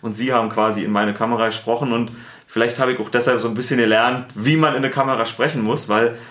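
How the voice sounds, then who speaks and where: A man with a Berlin accent, a sports TV presenter and editor, answering interview questions in a relaxed, chatty way with many fillers. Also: medium pitch, quick pace, roomy sound. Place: studio.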